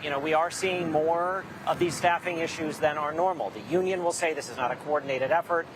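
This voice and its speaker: A man's voice: on the high side, a little fast, background noise. A man working as a news correspondent, explaining things conversationally in a live report from an airport.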